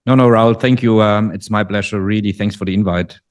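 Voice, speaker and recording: A man in his forties speaks English with a German accent, in a fairly low voice. He talks steadily, with plenty of "um"s and restarts. The sound is close and dry, with no room around it. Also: steady tone, no room sound. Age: 40s. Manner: measured, many fillers and restarts. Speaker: guest trader. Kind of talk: casual interview. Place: living in Dubai.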